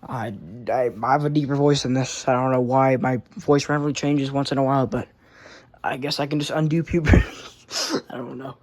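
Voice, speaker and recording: A man's voice: mid-range voice, little pitch movement, a little fast, a little mellow. A teenage boy casually showing his weather radio collection at home, rambling and often correcting himself. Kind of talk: casual show-and-tell.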